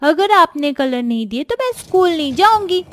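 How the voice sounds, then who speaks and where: A woman's voice: high-pitched, sing-song, moderate pace. A woman voicing a whiny, demanding young schoolchild who pleads with parents in a moral-story cartoon.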